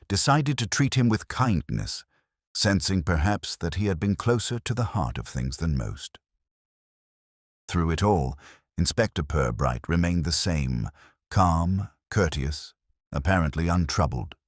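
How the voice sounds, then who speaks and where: A man's voice: sits low, no echo, studio quality. A man narrating a mystery story in a wry, stately manner. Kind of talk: scripted audiobook narration.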